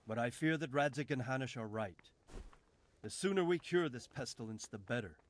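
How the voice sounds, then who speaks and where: A man's voice: mid-range voice, expressive, normal speed, lightly acted. An actor playing a decisive nobleman who gives orders in a game cutscene, authoritative. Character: commanding middle-aged lord, decisive. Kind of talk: video game cutscene acting.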